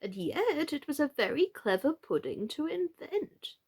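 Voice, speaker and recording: A gentle, foolish old storybook knight, as a woman acts him out, speaks in slow, drawn-out phrases. The delivery is doleful yet eager and fussy, swinging widely up and down with theatrical earnestness. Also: high-pitched, sing-song, pitched above the performer's own voice, exaggerated voice. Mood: doleful, thoughtful. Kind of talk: children's storybook character voice.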